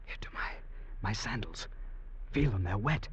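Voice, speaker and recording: Casting: panicky convict, breathless and pleading, very animated pitch, muffled old radio sound. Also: male voice, unhurried. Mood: frightened. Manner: hushed, breathless urgency. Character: frightened, bewildered male convict, urgent and pleading. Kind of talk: 1940s-50s radio acting. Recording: muffled, faintly noisy old radio broadcast.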